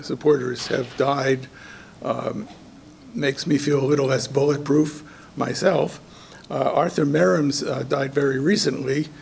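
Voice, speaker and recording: A hoarse man organizing a contest gives halting welcome remarks at a ceremony, with many ums and restarts. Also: medium pitch, a little hiss or hum. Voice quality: gravelly. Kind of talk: informal ceremony speech. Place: community event hall.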